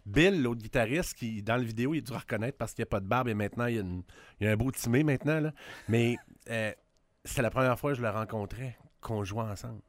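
A man in his fifties with a Québécois accent, reminiscing in a halting, reflective way during a casual podcast chat. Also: medium pitch, dry recording. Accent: Québécois French. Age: 50s. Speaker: musician guest.

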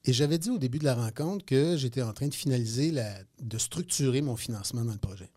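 A man's voice: medium pitch, lively intonation, quick pace, mellow. A man in his fifties with a Quebec French accent, telling stories as a guest in a radio interview, hesitating and repeating words. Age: 50s. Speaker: guest entrepreneur. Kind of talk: radio interview, casual storytelling.

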